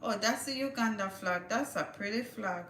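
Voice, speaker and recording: A woman's voice: medium pitch, normal speed, fairly bright tone, reverberant, a little hiss or hum. A woman hosting a casual livestream, chatting to viewers and reading news aloud with small stumbles. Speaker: livestream host.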